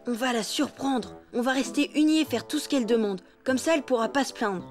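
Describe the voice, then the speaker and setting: A woman voicing a cheeky, sporty young elephant boy in an animated cartoon, with a casual, boyish delivery. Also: high voice, a little fast, soft background music, lightly acted. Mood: determined. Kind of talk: animated cartoon dub acting.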